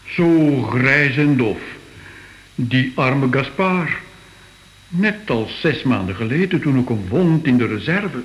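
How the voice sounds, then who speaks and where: Radio drama role: excitable, superstitious art expert, unhurried and full of wonder, very animated melody, slightly hissy old recording. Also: male voice, medium pitch, highs cut off. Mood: troubled.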